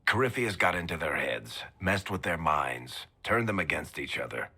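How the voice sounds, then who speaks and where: An actor playing a grave companion who speaks in a dry, measured way. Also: male voice, very deep voice, big pitch swings, pushed and bright, husky, heavily acted.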